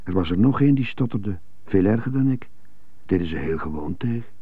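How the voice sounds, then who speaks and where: An actor playing an indignant, brooding stuttering prisoner who mutters to himself in a radio drama monologue. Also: male voice, sits low, lively intonation, fairly quick, breathy edge, dry recording. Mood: brooding, subdued. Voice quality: stutter on some words. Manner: muttering, self-questioning monologue in short bursts. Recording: muffled narrow phone-like sound, music behind.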